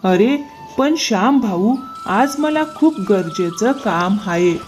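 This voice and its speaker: A woman's voice: medium pitch, animated, slow, a little mellow, faint music behind. A woman voicing a scheming male farmer in a cartoon moral story, talking in an ingratiating, excuse-making way.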